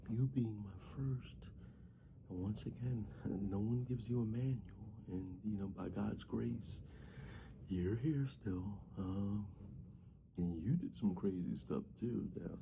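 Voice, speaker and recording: A man doing an ASMR fatherly roleplay, talking softly and half-whispering into a close microphone, tender, with halting restarts. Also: on the low side, creaky. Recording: steady ambient background noise.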